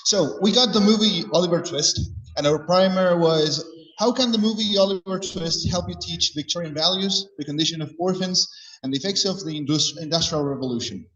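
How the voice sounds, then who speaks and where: Man, confident presenter, fairly high voice, far off in a reverberant hall. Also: a little fast, fairly bright tone. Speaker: teacher trainee presenting group work. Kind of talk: fluent classroom presentation. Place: large classroom or hall.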